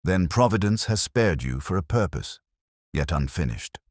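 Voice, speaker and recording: A man voicing a cool, precise detective in an audiobook, calm, steady and authoritative. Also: low voice, normal speed, no room sound, studio quality.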